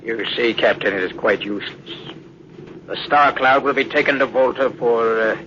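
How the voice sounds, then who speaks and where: Sinister, suave mutineer, male actor, sharp gravelly tone, deliberate hesitations, dull old radio recording. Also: mid-range voice, lightly acted. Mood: smug, mocking. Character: smug, coolly sinister male alien mutineer. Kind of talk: 1950s radio drama acting. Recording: muffled old radio with faint noise.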